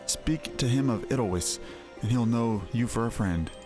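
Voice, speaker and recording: A man's voice: mid-range voice, normal speed, lightly acted. A man voicing an earnest, loyal knight in a fantasy game, grave, urgent and confiding. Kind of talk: voiced reading of fantasy game dialogue. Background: music.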